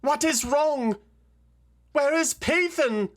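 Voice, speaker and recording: A man's voice: very high voice, slow pace, fairly bright tone, voice pushed up, exaggerated voice. A man voicing an anxious, earnest female avian in a fantasy audio story, asking in an urgent, worried manner. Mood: alarmed. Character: anxious, earnest female bird-person warrior.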